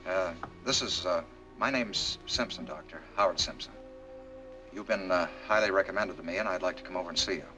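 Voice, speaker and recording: An actor in a 1940s film playing a polite, hesitant man seeking a doctor's help, speaking haltingly. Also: low-pitched, lightly acted. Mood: anxious, downcast. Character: polite, hesitant man posing as troubled patient. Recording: vintage film soundtrack, loud music behind.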